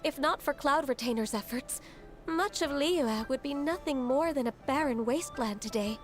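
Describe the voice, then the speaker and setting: A woman voicing a gentle, earnest, dutiful young woman in a video game, speaking politely and with care. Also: high voice, faint music behind, close to an everyday voice. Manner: polite, measured, careful.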